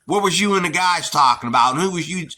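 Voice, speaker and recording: Forceful, preachy American man. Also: on the high side, lively intonation, fast. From United States. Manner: preachy and blunt, scolding with emphatic repetition. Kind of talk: heated opinion monologue.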